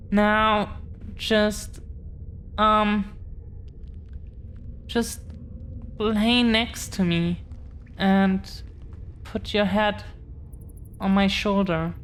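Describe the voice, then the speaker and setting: A woman plays a dragon-blooded girlfriend in an intimate audio drama, tender and possessive. Her delivery is extremely slow, earnest and hesitant, in a slightly low-key, affectionate manner. Some faint noise sits behind the voice. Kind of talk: intimate scripted audio roleplay.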